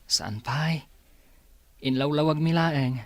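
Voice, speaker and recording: A man's voice: fairly high pitch, slow, no echo. A man playing a dutiful young student son talking with his mother in a Philippine radio drama. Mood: explaining. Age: young adult.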